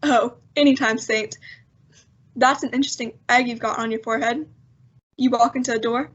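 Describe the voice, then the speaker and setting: A woman's voice: fairly high pitch, fairly even intonation, normal speed, lightly acted. A woman playing a breezy, wisecracking male newspaper man in an old-time radio drama, chatty and slangy. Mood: teasing. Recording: dull lo-fi audio.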